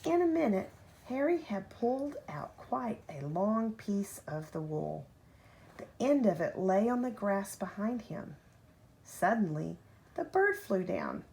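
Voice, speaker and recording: A woman reading a children's picture book aloud like a parent at bedtime, with expressive, deliberate phrasing and drawn-out key words. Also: medium pitch, creaky. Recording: home recording with faint background noise.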